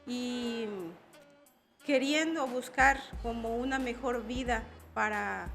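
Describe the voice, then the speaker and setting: A woman's voice: on the high side, fairly even intonation, slow, creaky, fairly bright tone, faint music behind. Salvadoran woman recounting her migration. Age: 40s. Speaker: activist guest. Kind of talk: personal testimony in interview.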